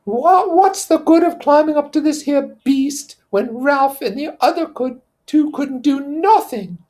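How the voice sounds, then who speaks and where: A man's voice: extremely high pitch, expressive, measured pace, darkish tone, voice pushed up. A man voicing an indignant, organizing schoolboy with insistent, ungrammatical speech in a storybook read-aloud. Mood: derisive incomprehension. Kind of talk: character voice in novel read-aloud.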